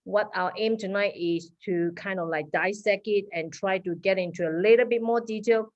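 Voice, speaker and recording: A woman from Malaysia with a Malaysian accent presenting at an online meeting, quick and full of fillers. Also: medium pitch. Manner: fast, with many fillers. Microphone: video call. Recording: dull lo-fi call audio.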